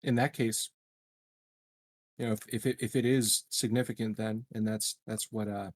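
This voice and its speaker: A man's voice: fairly low pitch, fairly even intonation, normal speed, dry recording. A man chatting casually as a player in a tabletop game, joking and loose with his language. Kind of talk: casual chat.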